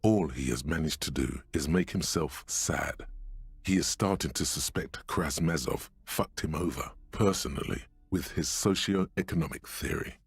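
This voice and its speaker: An actor playing a grave, sardonic inner voice that narrates a man's bitter thoughts in a video game, solemn and deliberate. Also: very deep voice, slightly rough, dry recording, heavily acted.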